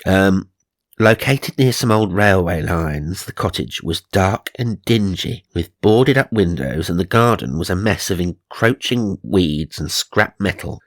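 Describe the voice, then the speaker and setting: A man's voice: sits low, lively intonation, a little slow, dry recording, studio quality. A man narrating supernatural magazine stories for comedy, mock-serious and dramatic, with wry asides. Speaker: narrator and host.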